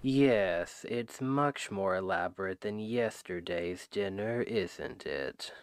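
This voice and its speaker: A man playing a manipulative, self-justifying demon king in an ASMR roleplay, speaking in a deliberate, persuasive, condescending way. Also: low voice, animated. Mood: weary, condescending. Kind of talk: ASMR roleplay, scripted dramatic monologue. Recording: long hall-like reverb.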